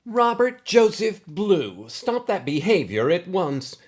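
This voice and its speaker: Guy doing a strict old lady teacher, prim and scolding, very proper wording, pitched up a bit. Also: big pitch swings, slow pace, heavily acted. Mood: stern scolding. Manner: formal, reproving, precise diction. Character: stern, prim elderly female schoolteacher, scolding and exasperated.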